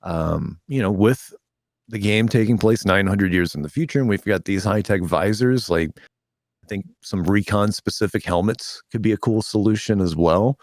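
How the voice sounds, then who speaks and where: A man with a lower-pitched, slightly hoarse voice, co-hosting a podcast in an easygoing way. He sounds laid-back as he reads out listener comments. The recording is dry and close, with no room sound. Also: normal speed, no room sound. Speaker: podcast co-host. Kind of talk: casual chat.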